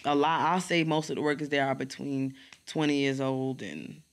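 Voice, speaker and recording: A young woman with a New York accent and a deep, strong voice, talking bluntly in street slang as a guest in a podcast studio. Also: normal speed. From New York City. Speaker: battle rapper guest. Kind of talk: casual interview chat. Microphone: studio mic on boom arm, headphones.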